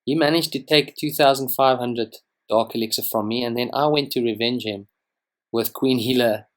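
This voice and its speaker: A young man whose first language is Afrikaans gives relaxed, rambling commentary over strategy game footage, with frequent ums and restarts. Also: mid-range voice, normal speed. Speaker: gaming channel host. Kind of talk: casual gameplay commentary.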